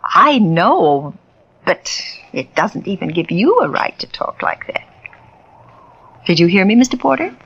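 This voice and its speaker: A woman's voice: medium pitch, very animated, measured pace, pauses often, husky, dull audio, heavily acted. An actress playing a middle-aged fake spiritualist woman in a 1940s radio thriller, syrupy and self-righteous. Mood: indignant. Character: scheming middle-aged female spiritualist, wheedling and proud.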